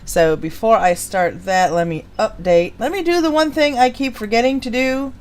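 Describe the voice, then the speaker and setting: A woman's voice: on the high side, moderate pace, noisy background. A woman hosting a live stream, chatting casually and introducing material in an informal, jokey way. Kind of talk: casual live-stream chat and reading show history.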